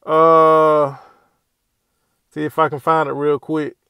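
Male commentator, high voice, dry room, loose rambling delivery. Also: flat delivery, takes it slowly, pushed and bright, clean tone, no room sound. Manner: rambling, repeats "all right" and "man" as fillers. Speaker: commentator reacting to a street video. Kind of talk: casual spoken commentary over a video.

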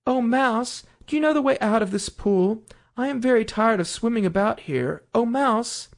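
A woman voicing a polite, eager little girl in a storybook, earnest and quick to apologise. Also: on the high side, animated, pitched above the performer's own voice. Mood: polite curiosity.

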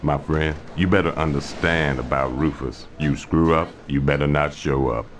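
Game crime boss: extremely deep, swaggering, menacing, seventies street slang. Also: male voice, fairly even intonation, normal speed, a little hiss or hum. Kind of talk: video game cutscene acting.

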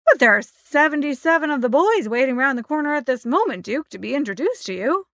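A man plays a plain-spoken, folksy go-between in a comic storybook. He bargains insistently in a high voice with wide swings of pitch, recorded very dry. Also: big pitch swings, fairly quick, fairly bright tone, no echo, full character voice. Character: folksy male spokesman of a paying crowd, bargaining. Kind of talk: audiobook character acting.

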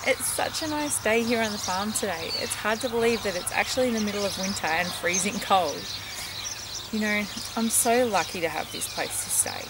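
Noisy outdoor recording, birds, young woman, Australian accent, casual vlogger, medium pitch. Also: moderate pace. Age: young adult. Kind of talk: casual vlog talk to camera. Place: outdoors on a farm.

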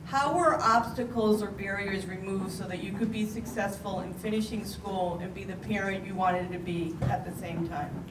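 A woman's voice: medium pitch, normal speed. A middle-aged American woman moderating a live panel discussion from the table in a meeting hall, using a handheld microphone. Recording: echoey noisy hall, distant sound.

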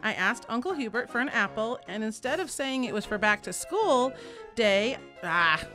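A woman's voice: a little high, expressive, normal speed. A woman voice actor reading script lines during studio takes. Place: small recording studio. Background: music.

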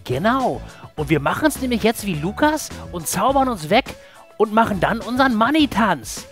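A cheerful, playful cartoon-like mouse hosts a children's show. The voice sits high and swings widely in pitch, upbeat and friendly throughout. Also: male voice, sing-song, normal speed, a bit bright, soft background music. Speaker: show host.

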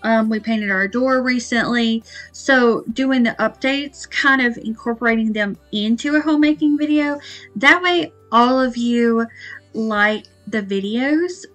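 Woman, 30s, chatty homemaking vlogger, bright voice, music underneath. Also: fairly high pitch, unhurried, low-quality recording. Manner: chatty, rambling with fillers. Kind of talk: casual chat to camera. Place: small home kitchen, indoors. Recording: soft background music.

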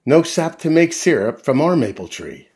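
A man's voice: on the high side, sing-song, moderate pace, darkish tone, slightly rough. A man voicing an eager young boy with an earnest, wide-eyed delivery in a storybook.

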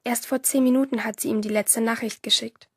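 A woman's voice: fairly high pitch, steady tone, fairly quick, slightly rough, no room sound, clean studio sound. An actress playing a rebellious, intense and jealous teenage girl swimmer, narrating and speaking in a crime radio play. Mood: conspiratorial narrating. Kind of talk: first-person audio drama narration and dialogue in a crime radio play. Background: music behind the voice.

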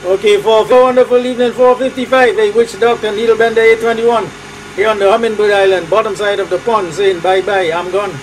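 Trinidadian guy on his radio at home, really high voice, hearty call sign patter, noisy room hum. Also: fairly even intonation, fairly quick. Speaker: amateur radio operator. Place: small cluttered room at home.

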